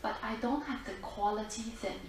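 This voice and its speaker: A woman giving a dharma talk to a group, speaking deliberately, repeating herself and putting questions to her listeners. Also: fairly high pitch. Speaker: Buddhist nun, teacher. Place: library-like meeting room, talk to an audience. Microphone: distant camera at back of room. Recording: echoey room, distant mic, faint noise.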